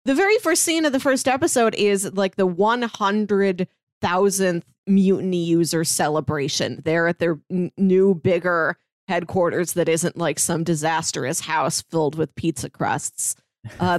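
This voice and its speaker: A woman co-hosting a casual podcast, talking in an animated way and laughing often. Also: medium pitch, measured pace, no room sound. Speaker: podcast co-host.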